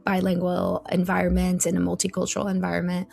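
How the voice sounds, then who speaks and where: A woman's voice: on the low side, monotone, fast talker, vocal fry, darkish tone, faint music behind. A woman hosting an interview, asking probing questions and rephrasing them as she goes. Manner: inquisitive, rephrasing her questions. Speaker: interviewer, host. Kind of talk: conversational interview.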